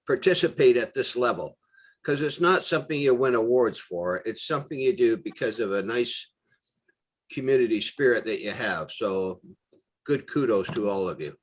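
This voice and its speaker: A man's voice: medium pitch, normal speed. Earnest man reflecting on a video call. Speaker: committee member. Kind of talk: casual meeting chat.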